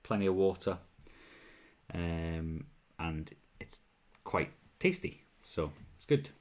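Low-voiced young Welsh man chatting on a livestream. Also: animated, slow, a little mellow. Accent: mild Welsh. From Wales. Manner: chatty, reads out and answers viewer comments. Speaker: whisky livestream host. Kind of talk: casual livestream chat. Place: small room at home, bottles on a shelf behind. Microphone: wired earphone mic.

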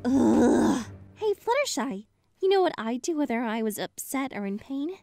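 A woman voicing a studious, earnest young female unicorn pony in a fan-made cartoon radio play, brisk and take-charge. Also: fairly high pitch, very animated, creaky, dark tone, no echo, clean studio sound, faint music behind. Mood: soothing.

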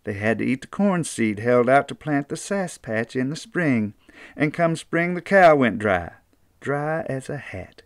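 An older man with an Appalachian accent, reading a children's storybook aloud in an unhurried, folksy way. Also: medium pitch, expressive, no room sound, studio quality. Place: small book-lined room indoors.

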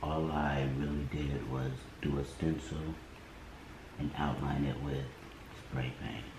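A man's voice: a bass voice, flat delivery, takes it slowly, noisy background. A man in his thirties, casually talking viewers through an art project in his home garage, laid-back and direct. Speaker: vlogger and artist. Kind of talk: casual how-to vlog narration. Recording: echoey room with loud music behind.

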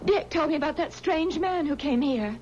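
A woman's voice: high voice, animated, moderate pace, a little mellow. An actress playing a worried, alarmed woman in a 1970s radio drama, calling out anxiously and emphatically. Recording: dull, noisy old radio recording.

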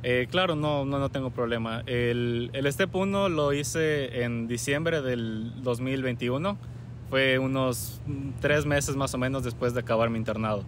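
A young man from Ecuador, answering interview questions in a calm, measured way with frequent pauses. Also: mid-range voice, noisy background. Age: young adult. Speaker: guest, recent medical graduate. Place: outdoors on a university campus plaza with trees.

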